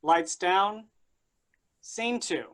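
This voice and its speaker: A man's voice: high-pitched, drawn-out words, fairly bright tone. A man reading stage directions aloud as the narrator of a staged play reading.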